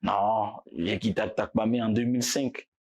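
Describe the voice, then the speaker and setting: A man from Togo, a musician guest talking in a casual interview, relaxed and halting, often repeating words. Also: lowish voice.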